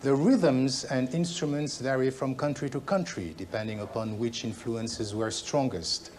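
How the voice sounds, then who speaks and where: A man's voice: mid-range voice, measured pace, darkish tone, a little hiss or hum. Man narrating a documentary.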